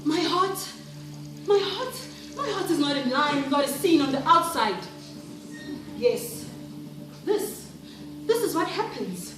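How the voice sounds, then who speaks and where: A woman's voice: high voice, big pitch swings, slow pace, long pauses, exaggerated voice. A woman with a South African accent performing a dramatic monologue as a devout, troubled churchgoer, emphatic and theatrical, repeating phrases for effect. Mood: anguished. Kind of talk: dramatic spoken-word monologue. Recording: very echoey room, distant mic, music behind.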